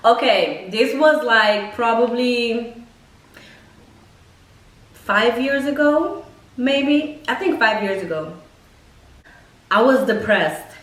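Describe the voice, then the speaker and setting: A young woman casually telling personal stories to camera in a blunt, expressive, slangy way with plenty of swearing. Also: a little high, slow, long pauses. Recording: echoey room, mic at a distance.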